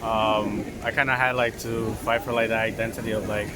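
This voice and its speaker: A man's voice: on the low side, flat delivery, fairly quick, crowd noise. A young man from Mexico, talking casually in a street interview and filling his speech with "like" and "um". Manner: rambling, many "like" fillers. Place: outdoors on a city street. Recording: street noise behind.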